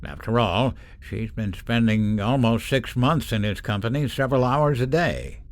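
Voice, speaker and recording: A man's voice: mid-range voice, normal speed, dry recording, close to an everyday voice. An actor playing an anxious, evasive middle-aged father and war official in a radio drama, speaking hesitantly and with reserve. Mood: uneasy.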